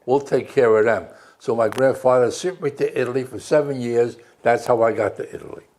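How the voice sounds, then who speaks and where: A man's voice: mid-range voice, fairly quick, darkish tone. A man around ninety, a veteran telling wry stories of his youth in an oral history interview. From New York City. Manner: storytelling, chatty, wry.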